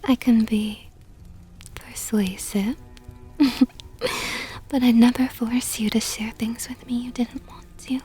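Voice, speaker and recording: A woman playing a seductive, possessive vampire countess in an ASMR roleplay, teasing in a soft whisper with mockingly sweet, coaxing words. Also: on the high side, steady tone, slow, pauses often, soft background music. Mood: sly, seductive.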